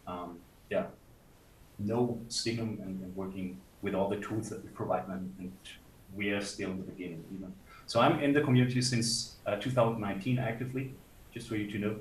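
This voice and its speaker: A man from Germany on a livestream video call, talking in a rambling, self-correcting way as a developer co-hosting the stream. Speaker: blockchain developer and stream co-host. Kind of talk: casual livestream chat. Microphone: computer mic on a video call. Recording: roomy, distant mic with faint rumble.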